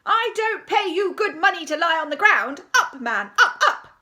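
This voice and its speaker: A woman's voice: high voice, huge pitch swings, moderate pace, pitched above the performer's own voice, full character voice. A woman voicing a bossy, stern headmistress in a children's storybook, scolding and commanding. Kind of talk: children's storybook read aloud.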